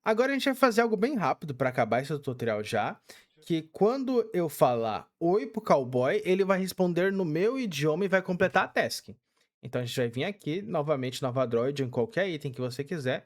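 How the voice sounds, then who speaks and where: A young man with a Brazilian Portuguese accent, casually walking through a game tutorial step by step with many fillers. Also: a little high. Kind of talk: casual screen-recorded tutorial.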